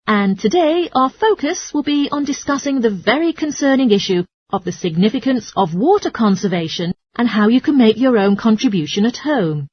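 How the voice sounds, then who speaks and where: A woman's voice: on the high side, a little mellow, dry recording. A woman playing a radio anchor, addressing listeners in a formal, scripted broadcast manner. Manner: formal, presentational. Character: female radio anchor addressing listeners about home living. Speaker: radio presenter.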